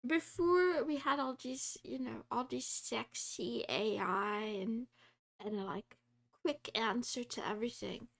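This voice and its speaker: A woman streams herself coding and chats the whole time in a rambling way, full of fillers. Her voice sits a little high and she takes her time. She answers her viewers and laughs often. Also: takes it slowly, creaky. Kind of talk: casual live-stream chat while coding.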